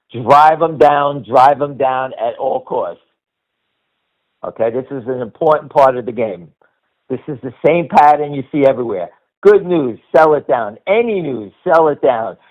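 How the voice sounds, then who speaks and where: A man's voice: mid-range voice, a little mellow. A middle-aged American man hosting a stock-trading conference call with off-the-cuff commentary, blunt and emphatic, often repeating himself. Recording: dull lo-fi conference-call audio.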